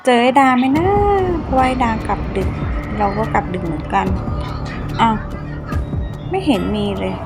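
A woman's voice: on the high side, moderate pace, long pauses, natural voice. A woman playing an anxious young woman haunted by her dead roommate in a cartoon ghost story, speaking dramatically with exclamations and repetition. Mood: casual. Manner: dramatic, exclaiming, repeats phrases when panicked. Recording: music behind the voice.

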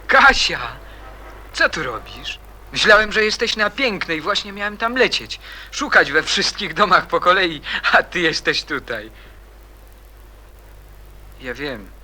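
A man's voice: sits high, very animated, unhurried, pauses often, sharp tone, exaggerated voice. An actor playing a proud, troubled teenage boy in a radio drama, terse and defensive or earnestly pleading. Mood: anxious, pleading. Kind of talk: Polish radio drama acting.

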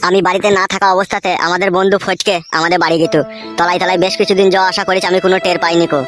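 A man's voice: high voice, little pitch movement, rapid-fire, fairly bright tone. A man playing an agitated young village man, an aggrieved brother in a comic cartoon, urgent. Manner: urgent, deferential to elders. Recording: music under the voice.

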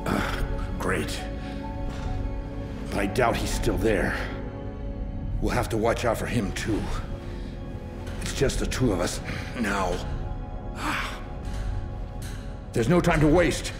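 An actor playing a secretive, authoritative special-forces commander in a dramatic video game cutscene. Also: male voice, on the low side, animated, slow pace, long pauses. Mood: weary, resolute. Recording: echoey, long reverb, music behind.

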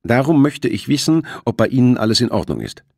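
A man voicing a calm, official coast guard lieutenant in an audiobook, formally hailing a ship by radio. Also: mid-range voice, a little fast, creaky, no echo, studio quality, voice pushed up. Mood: formal, composed.

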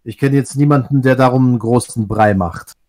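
A man's voice: medium pitch, brisk, a little mellow. A man from Russia talking in a casual online group chat, thoughtful and often restarting his sentences. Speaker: discussion guest.

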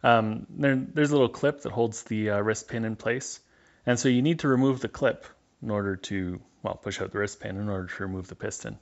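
A man's voice: fairly low pitch, a little fast, lo-fi sound. A man giving a casual, matter-of-fact instructional voice-over as a hands-on mechanic.

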